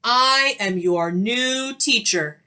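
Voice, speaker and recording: A woman's voice: fairly high pitch, expressive, extremely slow, sharp tone, smooth, exaggerated voice. A woman voicing a stern, snappish, witch-like substitute teacher in a children's storybook, curt and bossy.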